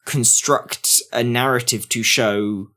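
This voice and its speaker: Male podcast panelist, medium pitch, slow and halting, casual review talk. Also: fairly even intonation, bright, forceful tone. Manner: halting, searching for words.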